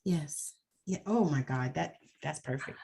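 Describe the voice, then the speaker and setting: A woman's voice: sits low, a little slow, creaky, very noisy. A woman hosting an interview over a video call, enthusiastic and affirming, laughing often as she talks. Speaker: interview host. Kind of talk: conversational interview.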